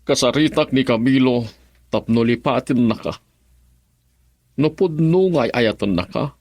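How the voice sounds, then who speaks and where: An actor with a Filipino accent playing a gentle, longing father coaxing his daughter in a radio drama. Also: medium pitch, lively intonation, measured pace, dry recording, clean studio sound. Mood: tender, pleading.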